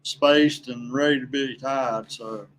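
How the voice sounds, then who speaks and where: A man speaking as a podcast guest in a casual, folksy, halting and plainspoken way. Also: medium pitch, fairly even intonation, fairly bright tone, slight background noise. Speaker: podcast guest, veteran angler. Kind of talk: casual chat.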